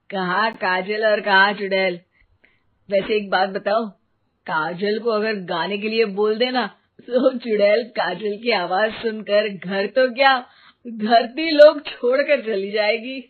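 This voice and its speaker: A woman's voice: medium pitch, fairly even intonation, takes it slowly. Woman as skeptical son, tinny sound. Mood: teasing. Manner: dismissive, joking. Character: skeptical teasing adult son. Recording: tinny phone-like sound with faint noise.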